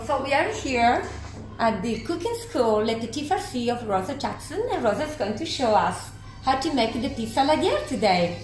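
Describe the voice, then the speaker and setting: A woman's voice: fairly high pitch, sing-song, normal speed, faint music behind, low-quality recording. A woman working as a tourist office guide, welcoming viewers in a chatty, friendly manner. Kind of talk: casual guided tour chat. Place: outdoor market.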